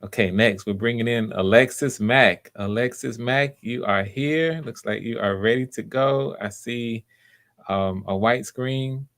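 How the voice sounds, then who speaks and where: A man's voice: medium pitch, unhurried, a bit bright. A man casually hosting live-stream auditions as casting director, speaking in an encouraging way. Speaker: casting host.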